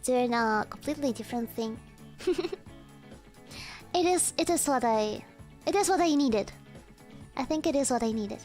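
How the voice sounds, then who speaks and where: A young woman streamer with a hard-to-place mixed accent, chatting casually to her viewers with lots of fillers and laughter. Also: sits high. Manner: talks to chat, frequent laughter and fillers. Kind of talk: casual livestream chat.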